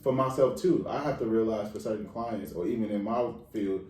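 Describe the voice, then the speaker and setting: A man with a deep, resonant voice, talking as a guest therapist in a blunt, casual chat. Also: fairly quick, roomy sound, recorded from a distance, soft background music. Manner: blunt, conversational, laughs loud.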